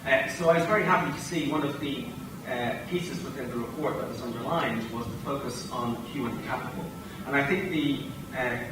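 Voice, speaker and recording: Irish man speaking in an echoey hall. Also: medium pitch. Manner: measured, frequent uh fillers. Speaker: tech company executive panelist. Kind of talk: conference panel speech. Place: large hotel conference hall. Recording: echoey hall, distant mic, noisy.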